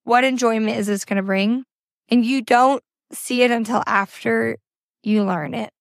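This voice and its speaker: A young woman hosting a faith-based motivational podcast, her voice hoarse and scratchy from a cold, speaking in an earnest, repetitive, encouraging way. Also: fairly high pitch, steady tone, normal speed, dry recording, studio quality. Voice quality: raspy, scratchy. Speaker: podcast host. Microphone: informal recording setup.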